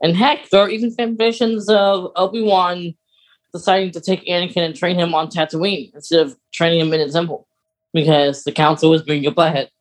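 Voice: medium pitch, little pitch movement, brisk, poor audio. Geeky podcast co-host chatting casually. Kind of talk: casual fan chat about film lore.

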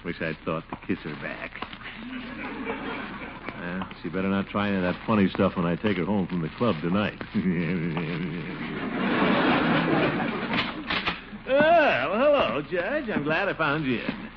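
A man's voice: lively intonation, takes it slowly, long pauses. Blowhard middle-aged radio comedy guy, chuckly, voice jumps all over, hissy old muffled recording. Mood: bluster. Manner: blustery, chuckling, with sudden high swoops. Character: pompous, blustering middle-aged man, fond of ladies. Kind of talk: 1940s radio comedy acting.

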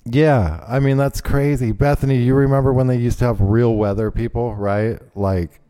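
A man's voice: medium pitch, fairly even intonation, normal speed, warm tone, smooth, no echo. A man hosting a podcast, chatting in an easygoing, agreeable way. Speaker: podcast host. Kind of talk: casual podcast chat.

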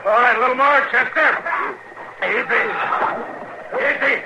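An actor playing a stern, steady middle-aged marshal of the Old West in a 1950s radio western, American, laconic and matter-of-fact. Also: male voice, very high voice, measured pace. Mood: urgent, straining. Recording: muffled, noisy 1950s radio recording.

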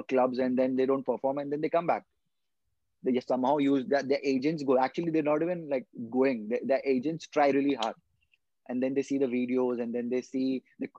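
A young man from India speaks earnestly in a casual podcast chat, often circling back and repeating words while he explains. His voice sits at an ordinary middle pitch. The recording is dry and a little dull. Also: mellow, no echo. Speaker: guest footballer.

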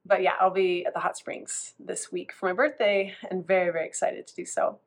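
A woman's voice: lowish voice, level tone, fairly quick, creaky. Woman in her 30s, kinda stuffy nose from a cold, chatty and rambly, talks to camera. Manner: chatty, rambling with many restarts. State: congested from a cold. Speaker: food coach. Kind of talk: casual vlog talk.

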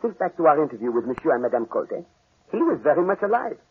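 An actor playing a clever, patient Belgian detective with a French accent and precise, formal phrasing, in 1940s radio mystery style. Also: male voice, a little high, big pitch swings, quick pace, breathy edge, heavily acted. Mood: explaining, knowing. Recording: muffled, band-limited 1940s radio recording.

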